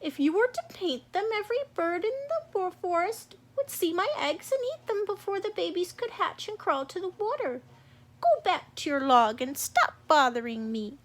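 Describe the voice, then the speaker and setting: A woman's voice: very high voice, sing-song, moderate pace, voice pushed up. A woman voicing a cross, protective mother turtle, scolding, in a children's storybook. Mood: annoyed.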